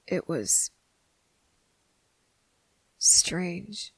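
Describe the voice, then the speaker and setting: A guarded young boy, played by a woman, speaks in a hushed ASMR roleplay. The voice is low and gravelly, recorded close and dry. He takes his time, halting between thoughts as he confronts a hidden monster. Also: very animated, no room sound, heavily acted. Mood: quiet, reflective.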